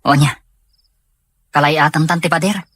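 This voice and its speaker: A questioning accomplice played by a man in an audio drama. His voice is a little high for a man and somewhat husky, recorded dry and close. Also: steady tone, moderate pace, a bit bright, no room sound. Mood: wary, questioning. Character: questioning male partner in crime. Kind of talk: acted dialogue in an audio drama.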